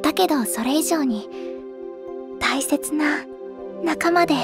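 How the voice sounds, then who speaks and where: A woman playing an earnest, expressive teenage girl idol in an anime audio drama. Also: sits high, takes it slowly, music in the background. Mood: earnest, fond. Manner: girlish, emotive, earnest. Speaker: voice actress, narrator and lead.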